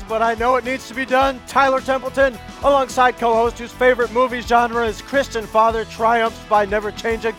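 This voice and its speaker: A man's voice: very high voice, a bit bright. A man playing a brash, smug and clueless right-wing pundit host in a cartoon news satire, with a bombastic delivery. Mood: cocky. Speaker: panel show host. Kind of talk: satirical cable news commentary.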